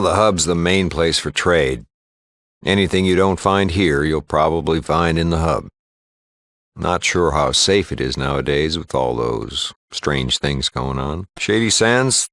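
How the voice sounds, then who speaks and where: A male actor playing a gruff, plain-spoken small-town shopkeeper and lawman in a video game, with a gravelly American voice and a folksy, matter-of-fact manner. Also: a bit bright, dry recording, studio quality, heavily acted. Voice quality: gravelly, low. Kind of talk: video game character dialogue.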